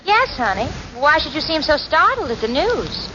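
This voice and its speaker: Wry, animated, high-voiced roommate. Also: female voice, normal speed. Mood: chatty. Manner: dry, articulate, patiently exasperated. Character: sensible, wry young woman roommate and storyteller. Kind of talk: 1940s radio comedy acting and narration. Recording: noisy, muffled 1940s radio recording.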